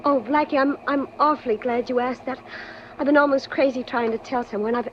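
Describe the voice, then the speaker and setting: A woman's voice: high-pitched, steady tone, fairly quick, natural voice. An actress playing a slick, nervy young female fur thief in 1940s radio drama, on a muffled, noisy recording. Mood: anxious, pleading.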